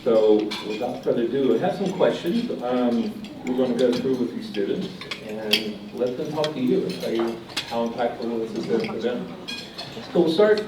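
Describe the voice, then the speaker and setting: A man moderating a student panel, relaxed and a little hesitant. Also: mid-range voice, moderate pace, background noise. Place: large banquet hall. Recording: echoey banquet hall, distant mic, dish clatter. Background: cutlery and dishes clinking.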